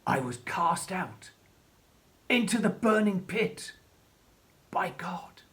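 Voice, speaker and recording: An actor playing a reanimated creature in a radio play, childlike and tormented, speaking haltingly and repeating words with effort. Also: mid-range voice, lively intonation, a bit bright. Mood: anguished, insistent.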